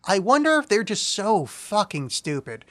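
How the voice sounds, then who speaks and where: A man's voice: high-pitched, big pitch swings, normal speed, fairly bright tone. A man from the Philadelphia area with a nasal voice, co-hosting a podcast and mocking a bad movie with sarcastic, profane remarks. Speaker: podcast co-host. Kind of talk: casual chat reviewing a movie.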